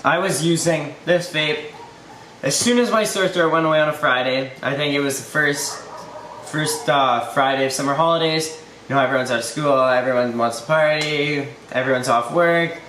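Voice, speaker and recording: A man in his twenties telling a rambling story-time vlog, his voice slightly hoarse. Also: a little high, fairly bright tone, reverberant, slight background noise, poor audio. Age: 20s. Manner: rambling and loose, lots of "like" and "you know". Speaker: YouTuber.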